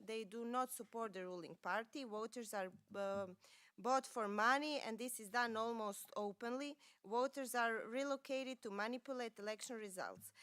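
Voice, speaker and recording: Serbian-accented woman with a fairly high voice. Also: fairly bright tone, dry recording. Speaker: political party speaker. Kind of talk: prepared political speech.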